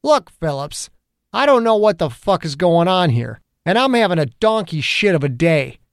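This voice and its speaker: A man doing a gruff, profane old small-town detective. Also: high-pitched, animated, moderate pace, dry recording, studio quality, pitched above the performer's own voice. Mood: angry. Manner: blunt, profane, confrontational. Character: gruff older male small-town police detective, combative.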